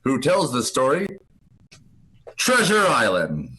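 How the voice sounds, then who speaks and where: A man's voice: mid-range voice, wildly swooping pitch, slow, poor audio. A man making a dramatic program announcement for a radio play.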